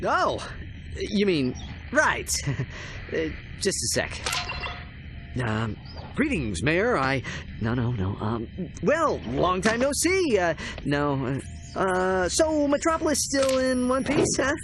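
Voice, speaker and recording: An actor playing an awkward young alien adventurer in a video game, boyish, hesitant and flustered. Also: male voice, high voice, huge pitch swings, slow, noisy background, heavily acted.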